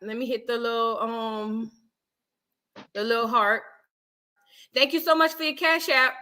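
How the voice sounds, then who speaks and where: A woman from New Orleans with a New Orleans accent hosts a casual livestream, her voice bright and forward. She sits a touch higher than most women and chats loosely with her viewers. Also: fairly even intonation, a little slow. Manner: warmly thanks viewers, calls them baby. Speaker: livestream host.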